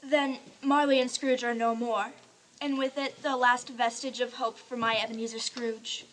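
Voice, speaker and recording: A woman's voice: on the high side, flat delivery, normal speed, lightly acted, rough recording. A woman playing a sorrowful but resolute young woman in a radio play, speaking earnestly and formally. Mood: anxious, mournful. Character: sorrowful, resolute young woman leaving her greedy fiance. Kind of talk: live-audience radio play acting.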